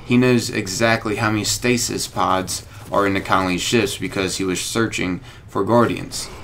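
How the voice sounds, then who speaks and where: A man's voice: on the low side, steady tone, moderate pace, gravelly, background noise. A young man hosting a gaming video, talking to viewers in a casual, chatty, informal way. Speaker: gaming YouTuber, host. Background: light music.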